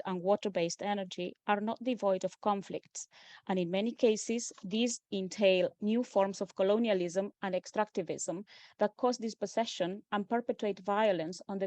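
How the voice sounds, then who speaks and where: A woman's voice: medium pitch, darkish tone, no room sound. Argentinian woman giving an academic lecture. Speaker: guest speaker. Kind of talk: academic lecture over video call.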